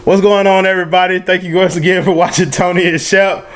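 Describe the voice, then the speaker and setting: A man's voice: high-pitched, little pitch movement, fast talker, fairly bright tone. A young man co-hosting a casual sports chat, teasing his partner and laughing a lot. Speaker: podcast co-host.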